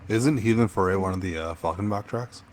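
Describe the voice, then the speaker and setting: Deep-voiced thoughtful middle-aged man. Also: a little mellow, slight background noise. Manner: thoughtful, measured, hesitant with frequent ums. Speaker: podcast co-host. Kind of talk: casual music podcast chat.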